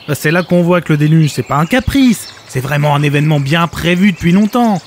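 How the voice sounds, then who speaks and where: A man's voice: fairly high pitch, animated, a little fast, slight background noise. An actor playing a sarcastic, overwhelmed everyman ark builder in a comedic audio drama, haggling in hesitant, colloquial speech. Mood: exasperated, sarcastic.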